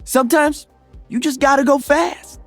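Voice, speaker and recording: A man's voice: very high voice, fairly even intonation, normal speed, soft background music. A man voicing an upbeat, playful young celebrity DJ hero in a video game, joking casually. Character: upbeat young male Brazilian celebrity DJ and freedom fighter.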